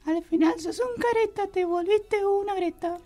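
A man's voice: extremely high pitch, normal speed, mellow, soft background music. A man voicing a small, sly, foul-mouthed teddy bear with an Argentine accent in a comic radio play.

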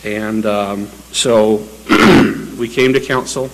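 Staff presenter at a council meeting, man, low voice, measured and filler-heavy. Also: steady tone, very noisy. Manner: methodical, hesitant with frequent fillers. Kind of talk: formal public meeting presentation. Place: city council chamber.

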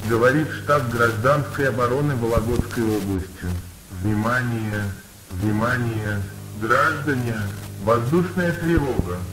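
A man's voice: mid-range voice, unhurried, lightly acted. Casting: male actor as grave civil defense announcer, formal warning style, reverberant and noisy broadcast sound. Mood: grave, urgent. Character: stern civil defense announcer giving air raid warning. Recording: echoey, noisy, humming old broadcast.

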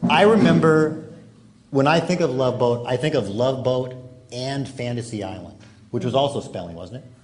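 A man's voice: medium pitch, normal speed. A man interviewing guests as host of a live panel on stage. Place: large hall stage, before an audience. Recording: noisy live hall recording.

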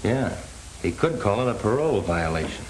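An actor playing a polite, guarded young American chauffeur in a 1930s film, with a hissy soundtrack. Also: male voice, lowish voice, sing-song, moderate pace, low-quality recording. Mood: uneasy. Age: young adult.

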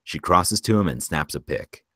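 A man's voice: deep voice, expressive, normal speed, sharp tone, no echo, clean studio sound. A man reading screenplay stage directions as scripted narration for a table read.

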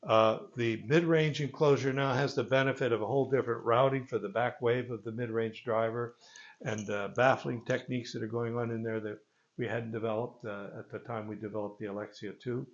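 An older man giving an informal product presentation in a gravelly voice, with halting, hesitant phrasing, recorded on a phone a few meters away. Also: mid-range voice, fairly even intonation, lo-fi sound. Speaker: loudspeaker company presenter. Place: carpeted listening room with stone wall, indoors. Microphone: phone camera a few meters away.

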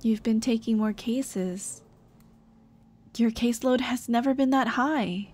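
A young woman voicing a dead girlfriend's caring, gently nagging ghost in an ASMR roleplay drama, tender and coaxing. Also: on the high side, slow. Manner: tender, coaxing, soft-hearted scolding. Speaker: roleplay voice actress.

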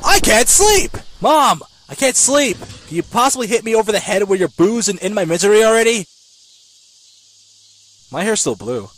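A man as wildly animated cynical teenage boy. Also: high-pitched, normal speed, full character voice. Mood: gloomy. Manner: ranting, sarcastic, foul-mouthed, swinging into yelps. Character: cynical, self-loathing, easily panicked male high-school student. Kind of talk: comedic anime parody dub acting.

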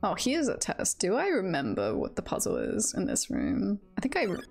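Woman, unhurried, fry in the voice, playful teasing game-stream chatter, music behind. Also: medium pitch, wildly swooping pitch, a little mellow. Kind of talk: casual video game livestream chat.

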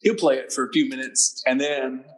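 An easygoing man in his thirties, husky and a little breathy, talking through a laptop mic on a video call. He restarts his sentences often and pokes fun at himself. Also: medium pitch, fairly even intonation, low-quality recording. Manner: easygoing, halting restarts, self-deprecating. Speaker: guest musician. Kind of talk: casual chat on a video call. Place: home room.

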